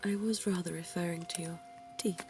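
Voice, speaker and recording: A woman voicing a suave, centuries-old vampire dentist in an ASMR roleplay, whispering softly with genteel, teasing charm. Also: on the low side, steady tone, heavy background noise, lightly acted. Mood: soothing. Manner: soft whispering, slow and intimate; genteel and teasing, quiet chuckles.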